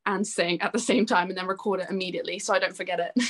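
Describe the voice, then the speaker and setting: A woman's voice: on the low side, fairly even intonation, fast talker, a bit bright. A young woman chatting casually and enthusiastically as a musician being interviewed. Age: young adult.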